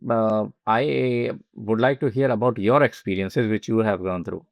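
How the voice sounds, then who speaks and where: Male podcast host, Indian accent, vocal fry, a bit low, loose halting conversation, recorded dry and close. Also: fairly even intonation, dry recording.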